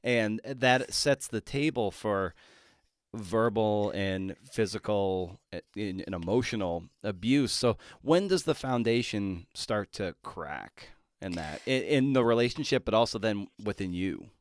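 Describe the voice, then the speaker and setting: A man hosting a conversational interview, setting up long questions in halting, reflective phrases. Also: fairly low pitch, lively intonation, no room sound, studio quality. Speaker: podcast host interviewing an author.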